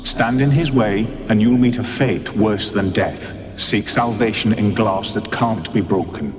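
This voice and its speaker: A man's voice: lowish voice, unhurried, natural voice. A man voicing a grave ghostly servant. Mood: ominous. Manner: grave, warning tone. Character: solemn male ghost servant. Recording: distant, reverberant with faint noise.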